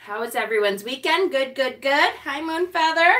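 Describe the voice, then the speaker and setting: Loud, bright-voiced woman hosting a live stream. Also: sits high, expressive, moderate pace. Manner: loud, chatty, upbeat. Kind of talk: casual live-stream chat greeting viewers. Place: small home apothecary room indoors. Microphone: camera set a few feet away. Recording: voice a little distant from the mic.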